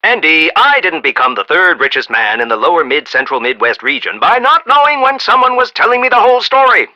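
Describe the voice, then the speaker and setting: A proud, eccentric American inventor tycoon for a video game. The voice is high for a man and very sharp and forceful, swinging widely in pitch. He rambles in a folksy, emphatic, blustery way. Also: sing-song, brisk, muffled recording, full character voice. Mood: wary, shrewd. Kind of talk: animated video game voice acting.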